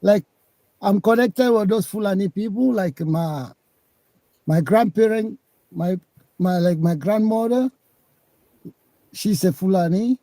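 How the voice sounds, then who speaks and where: A man's voice: high-pitched, takes it slowly, long pauses, mellow, no room sound, poor audio. A man from Leone, calling into a live discussion and arguing his point, repeating himself. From Sierra Leone. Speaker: caller.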